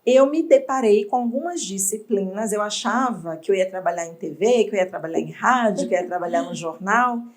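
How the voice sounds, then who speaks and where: A woman from Bahia, an entrepreneur telling her story in an interview, reflective and expressive. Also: mid-range voice, brisk, hardly any pauses. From Bahia, Brazil. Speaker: entrepreneur guest.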